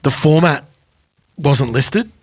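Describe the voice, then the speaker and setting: A man's voice: a little high, lively intonation, slow, husky, dry recording. A man co-hosting a podcast, telling anecdotes in a casual, informal way. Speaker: podcast co-host. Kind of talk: casual music podcast chat.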